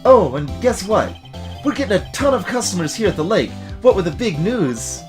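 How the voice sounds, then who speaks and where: A woman voicing a goofy, excitable young man who talks in boastful slang and stammers when flustered, in video game dialogue. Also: medium pitch, moderate pace, faint music behind, close to an everyday voice. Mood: breezy, boastful. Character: goofy, excitable young man, slacker hot dog vendor. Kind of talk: voiced visual novel game dialogue.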